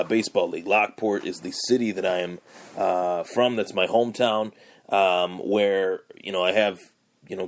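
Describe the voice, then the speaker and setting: Slightly hoarse young man, rambling. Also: fairly low pitch. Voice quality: raspy. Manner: rambling, with many fillers and restarts. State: hoarse from yelling. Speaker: podcast host. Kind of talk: casual solo sports podcast.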